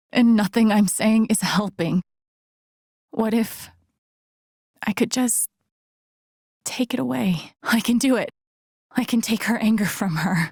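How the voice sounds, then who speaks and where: A young game heroine, introspective, wry, empathetic, creaky edge, dry close sound, understated inner monologue. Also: female voice, medium pitch, a little mellow, no room sound. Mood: troubled, hushed. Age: young adult. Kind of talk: naturalistic video game voice acting, inner monologue.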